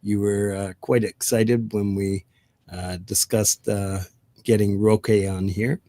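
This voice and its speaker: A man's voice: on the low side, level tone, measured pace, mellow, no echo. A man hosting a community music show, chatting casually with his co-host, laughing and joking often.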